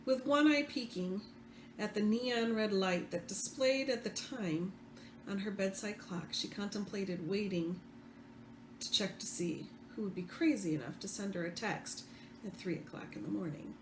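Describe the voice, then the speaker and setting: A woman reading fiction aloud as the author, expressive, with the odd stumble. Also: mid-range voice, moderate pace. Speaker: author reading own book. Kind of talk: read-aloud fiction narration. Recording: roomy echo, faint background noise.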